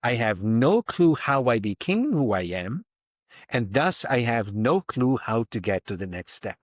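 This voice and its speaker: Man in his fifties, podcast guest, emphatic, expressive delivery, dry close sound. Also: medium pitch, unhurried, no room sound. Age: 50s. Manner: emphatic, acts out inner thoughts in quotes. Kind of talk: reflective interview talk.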